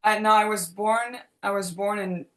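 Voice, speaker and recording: A woman's voice: medium pitch, steady tone, moderate pace. A young woman from France, a singer, talking casually and loosely with many fillers on a video call. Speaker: singer, interview guest. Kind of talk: casual interview talk.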